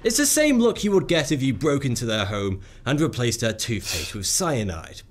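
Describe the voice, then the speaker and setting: A man's voice: medium pitch, big pitch swings, moderate pace. A man giving scripted narration for an explainer video, with a sarcastic, wry delivery.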